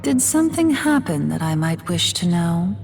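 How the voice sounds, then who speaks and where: A composed, gracious goddess from a game cutscene. Her slightly low voice lilts widely, gently asking. The mix carries a long reverb. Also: sing-song, moderate pace, gravelly, heavily acted. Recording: reverberant, game music behind.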